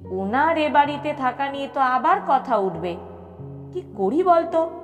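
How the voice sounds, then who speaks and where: A woman's voice: high-pitched, expressive, unhurried, pitched above the performer's own voice. A woman voicing an elderly, earnest, tender man who pleads in an audio story. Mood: worried. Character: elderly lonely widower, earnest, tender, fed up with society. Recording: long reverb with music behind.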